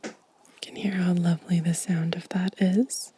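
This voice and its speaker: A woman giving a gentle, unhurried ASMR show and tell in a soft, mostly whispered, breathy voice, close to the microphone. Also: fairly low pitch, flat delivery, a little hiss or hum. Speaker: ASMR video host.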